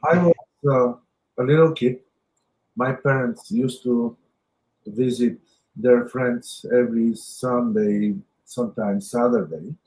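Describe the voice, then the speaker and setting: Bulgarian-accented man, interview guest, slow halting delivery, mid pitch. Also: darkish tone, slight background noise, rough recording. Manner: unhurried, many uh fillers, halting phrasing. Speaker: guest, audio company owner. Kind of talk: storytelling interview.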